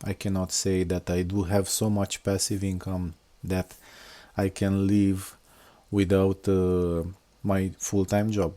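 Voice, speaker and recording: A middle-aged man from Romania talks slowly through a screen walkthrough, pausing often and filling gaps with fillers. His voice is low and mellow. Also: flat delivery. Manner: unscripted, hesitant, many fillers. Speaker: software engineer and blogger. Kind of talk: casual screen-recorded walkthrough.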